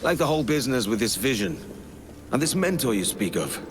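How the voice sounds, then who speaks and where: An actor playing a roguish, easygoing young pirate captain in a video game, with colloquial, self-assured sailor talk. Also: male voice, medium pitch, big pitch swings, a little fast, slight background noise, lightly acted. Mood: probing, confident. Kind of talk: video game cutscene acting.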